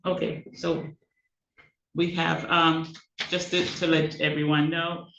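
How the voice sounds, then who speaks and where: A man's voice: a little high, little pitch movement, takes it slowly, vocal fry, a bit bright, a little hiss or hum. A man chairing a council meeting, speaking in a plain, procedural way. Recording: echoey chamber, dull mic.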